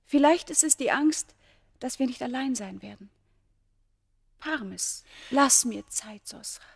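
A devoted, fearful young woman of ancient Egypt in classic radio drama. Her voice is fairly high and husky with a creaky edge, hushed and pleading. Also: lively intonation, slow pace, exaggerated voice. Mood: anxious pleading.

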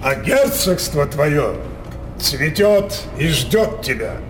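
An actor playing a scheming, depraved king in a theatrical radio drama, declaiming imperiously with teasing pauses. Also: on the high side, very animated, noisy background. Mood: measured, commanding. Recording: studio radio play over loud music.

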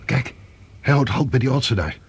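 A man's voice: medium pitch, lively intonation, moderate pace, background noise, natural voice. An actor playing a cautious, worried spaceman in a radio drama, a hesitant and uneasy sidekick.

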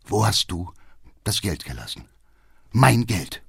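An old tyrant of a father, as acted out by a male narrator, wrathful and commanding in an audiobook novel, his pitch pushed up from a low narrating register into hard barks, recorded very dry and close. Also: animated, a little slow, a bit bright, no room sound, exaggerated voice. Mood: seething anger. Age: older. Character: stern tyrannical old father, furious and domineering.

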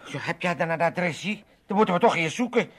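A man's voice: fairly high pitch, expressive, a little fast, a bit bright. An actor playing a grumpy, self-pitying old Amsterdam father, repeating himself and stammering in comic radio drama. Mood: scheming, reluctant. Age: older.

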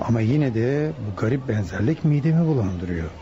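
A man acting a brooding, suspicious chief inspector in a radio drama, deliberate and deductive. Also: mid-range voice, animated, mellow, natural voice. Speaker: voice actor. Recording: dull, noisy radio play recording.

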